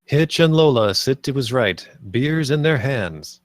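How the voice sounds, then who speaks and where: A man's voice: medium pitch, animated, measured pace, dry recording, studio quality. A man narrating stage directions at a live script table read.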